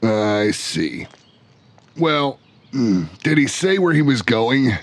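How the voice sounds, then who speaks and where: Slow, swaggering talk show host. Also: male voice, medium pitch, sing-song, dry recording. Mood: cocky, wry. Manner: folksy, swaggering, dramatic pauses and sighs. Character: brash male talk show host telling an investigative story. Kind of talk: scripted first-person narration in an audio drama.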